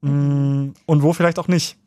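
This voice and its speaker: A man hosting a live call-in radio show, chatting in a relaxed way with lots of fillers. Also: on the high side, measured pace, no echo.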